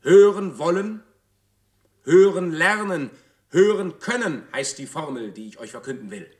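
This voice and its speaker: A bombastic prophet-like orator. His fairly high voice swoops widely through declamatory, repetitive phrases of theatrical radio oratory, ringing with echo. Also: animated, measured pace, a little mellow. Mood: solemn, grand. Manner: declamatory, rhetorical repetition, pompous. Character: bombastic male artist-prophet rehearsing a rousing public speech. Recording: echoey, reverberant voice.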